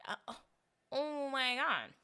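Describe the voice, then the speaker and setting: A young woman in her twenties hosting a casual solo podcast, chatting and rambling with animated asides, a little congested. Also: high-pitched, slow pace. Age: 20s. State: slight congestion. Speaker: podcast host.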